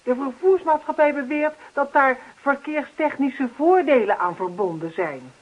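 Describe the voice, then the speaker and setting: An actress playing an earnest, officious neighbourhood campaigner in a radio play, speechifying persistently. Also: female voice, sits high, lively intonation, measured pace. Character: earnest, insistent female neighbourhood action-committee campaigner. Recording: dull lo-fi radio play recording.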